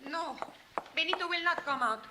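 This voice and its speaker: Hot-tempered young sister, high sharp voice. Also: female voice, lively intonation, normal speed, a little hiss or hum, full character voice. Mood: defiant. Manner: fierce, defiant outbursts. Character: fiery young Mexican woman, protective sister. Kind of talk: 1950s radio western acting.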